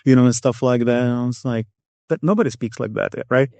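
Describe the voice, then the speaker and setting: A middle-aged Czech man with a Czech accent, a guest in an interview, telling a story in a rambling, animated way and acting out what people said. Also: medium pitch, fairly quick, no room sound. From Czech Republic. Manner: rambling, animated, acts out quotes. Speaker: guest, Air Force master sergeant.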